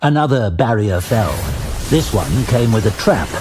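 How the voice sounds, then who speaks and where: A man giving dramatic scripted narration of a fantasy tale in a measured, grave storytelling manner. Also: lowish voice, very animated, background noise. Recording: music behind the voice.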